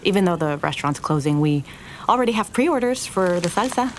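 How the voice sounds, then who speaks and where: Actress as low-voiced, regretful young woman. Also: expressive, fairly quick, a little hiss or hum, natural voice. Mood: resigned, hopeful. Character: earnest, regretful young restaurant owner woman, opening up. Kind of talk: naturalistic audio drama acting.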